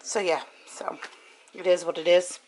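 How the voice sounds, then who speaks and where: A woman's voice: lowish voice, fairly even intonation, unhurried, darkish tone. A woman in her forties with an Irish accent, chatting casually and matter-of-factly as a vlogger. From Ireland. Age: 40s. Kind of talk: casual chat about shopping. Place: home kitchen and inside a car. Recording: clicks and clear background noise.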